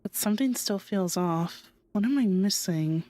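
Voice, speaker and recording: Expressive woman, lively swooping game reactions. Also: mid-range voice, moderate pace. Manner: expressive, reactive, thinking aloud. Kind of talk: casual game reaction commentary. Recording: game music behind.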